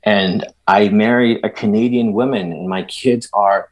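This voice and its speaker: Husky-voiced man from El Salvador. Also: lowish voice, measured pace, low-quality recording. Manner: animated, many restarts, emphatic asides. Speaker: guest. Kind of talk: conversational interview.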